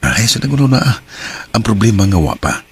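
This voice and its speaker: A man with a Cebuano accent acting a smooth-talking, evasive young cheating boyfriend in a radio drama. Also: on the low side, sing-song, a little fast, a little hiss or hum. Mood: casual, reassuring. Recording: music under the voice.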